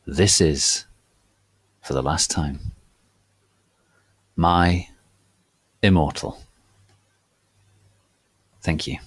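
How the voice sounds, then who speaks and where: A low-pitched man reads as host of a dramatic reading. The delivery is formal, grave and mock-solemn, with very deliberate, slow pacing. The sound is dry and close. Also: breathy edge, no echo. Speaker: host and reader.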